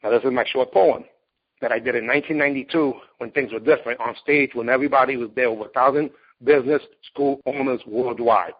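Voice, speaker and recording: A man's voice: mid-range voice, fairly even intonation, quick pace, husky. A man in his late forties, a martial arts competitor and teacher, speaking bluntly and emphatically in an interview as he tells his story. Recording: dull lo-fi recording.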